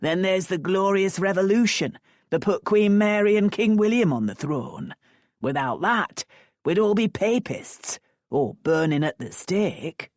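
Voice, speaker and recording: A woman's voice: mid-range voice, expressive, a little slow, darkish tone, no echo, clean studio sound, voice pushed up, natural voice. A woman voicing a grey-haired older man with a pipe who lectures and argues persistently. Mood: lecturing. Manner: lecturing, argumentative.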